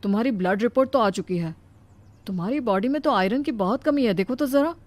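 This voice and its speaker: A woman plays a young husband in a cartoon moral story, with a fairly high voice for the part. He is mild and gently concerned, often questioning. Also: expressive, a little fast, natural voice. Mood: mild, caring.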